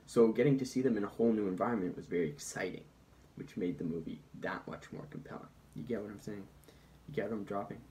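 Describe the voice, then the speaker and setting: Male commentator, low-ish voice, loose comedic video-essay delivery with bantering, defensive asides. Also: fast talker, dark tone, a little hiss or hum, rough recording. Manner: informal, mock-defensive asides, conversational. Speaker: film commentator presenting points.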